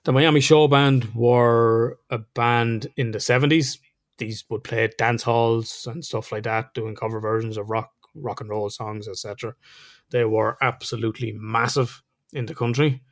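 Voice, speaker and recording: A man's voice: mid-range voice, little pitch movement, moderate pace. Irish guy cohosting a podcast, chatty, laughs a lot, swears a bit. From Ireland. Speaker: podcast co-host. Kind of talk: casual podcast chat.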